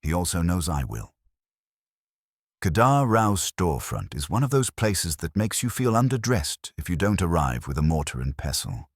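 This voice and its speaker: A man reading a scripted first-person detective story in a wry, deadpan way. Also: low-pitched, moderate pace, dry recording, studio quality.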